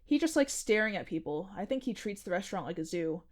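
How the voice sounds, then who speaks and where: A woman's voice: medium pitch, very animated, fast, natural voice. A young woman acting a strange, cheerfully nerdy coworker in a comedic audio drama, matter-of-fact and teasing. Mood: breezy. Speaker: restaurant janitor.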